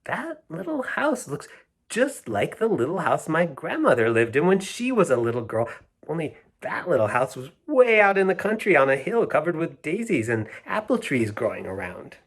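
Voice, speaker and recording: Guy doing a kindly wistful young woman from a kids storybook, voice lifted up higher. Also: wildly swooping pitch, normal speed, husky, heavily acted. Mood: wistful, surprised. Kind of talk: children's storybook read-aloud.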